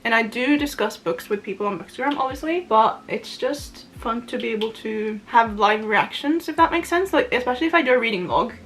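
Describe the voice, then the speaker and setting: A young Norwegian woman with a fairly high voice chats in English into the camera while she does her make-up. She rambles freely, laughs often and fills her sentences with "like". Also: animated, a little fast, few pauses, a bit bright. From Norway. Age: young adult. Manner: rambling, giggly, lots of "like". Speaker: booktuber. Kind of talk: chatty get-ready-with-me vlog. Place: at home, doing her make-up.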